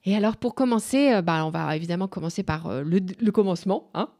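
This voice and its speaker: A woman's voice: on the low side, lively intonation, fast talker, mellow. A woman hosting a casual radio conversation, chatty and encouraging, with frequent interjections. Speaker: interview host.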